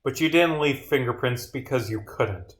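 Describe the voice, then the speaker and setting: A man playing a stern detective in a visual novel, deliberate and emphatic as he accuses. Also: mid-range voice, natural voice. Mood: accusing.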